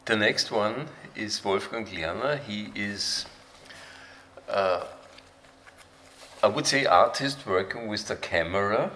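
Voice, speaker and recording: Slow, low-voiced man hosting an event. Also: pushed and bright. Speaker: host introducing presenters. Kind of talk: live spoken introductions at a talk event. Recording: noisy live event room.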